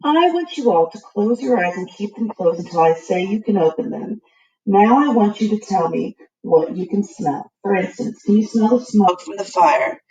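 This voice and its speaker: A woman's voice: medium pitch, animated, normal speed, darkish tone, dull audio, natural voice. A woman voicing an enthusiastic, kindly older male professor who explains and exclaims in old-fashioned phrases. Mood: instructing.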